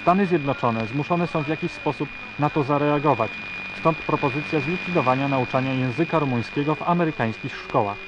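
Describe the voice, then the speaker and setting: A man playing an earnest radio announcer, reading the news in a formal, measured, serious manner. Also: a little high, lightly acted. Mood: solemn, formal. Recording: very noisy, humming shortwave radio broadcast.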